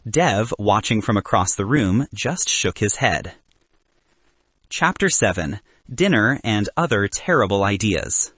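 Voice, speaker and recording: Male narrator for contemporary fiction. Husky, wry and animated, recorded dry. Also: lowish voice, a little slow, no echo. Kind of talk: scripted audiobook narration.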